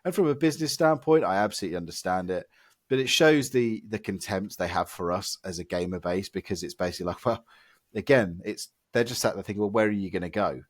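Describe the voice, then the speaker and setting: Hoarse low-voiced man ranting. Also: fast talker, no room sound, studio quality. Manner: ranting, exasperated, emphatic. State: hoarse, losing his voice from illness. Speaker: podcast co-host. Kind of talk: casual podcast chat.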